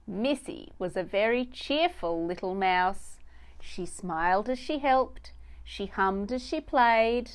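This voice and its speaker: A woman's voice: medium pitch, expressive, slow, clean tone. A woman narrating a children's storybook as a read-aloud storyteller.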